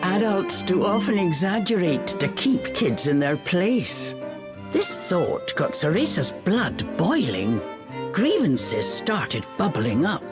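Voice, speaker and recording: A woman narrating a fairy tale for a video game in an expressive, measured storytelling manner. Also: lowish voice. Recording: faint music behind the voice.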